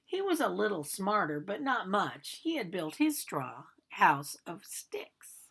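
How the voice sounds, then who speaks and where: Storybook big bad wolf, played by a woman: chatty, aggrieved, theatrical, highly animated, unhurried delivery. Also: gravelly, breathy edge, heavily acted. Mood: self-justifying, dramatic. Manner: chatty, theatrical, protesting innocence. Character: aggrieved, self-justifying male big bad wolf telling his story. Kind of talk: animated storybook read-aloud.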